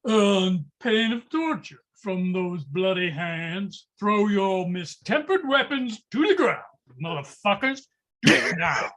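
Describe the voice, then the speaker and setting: A man's voice: sits high, lively intonation, takes it slowly, a bit bright, heavily acted. A man playing an earnest, put-upon peacemaker in a dramatic script read over a video call. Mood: urgent, commanding. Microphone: home microphone on a video call.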